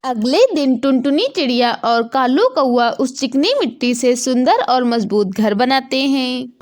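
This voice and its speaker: Female narrator, somewhat high pitch, scripted children's storytelling. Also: a little slow, faint music behind.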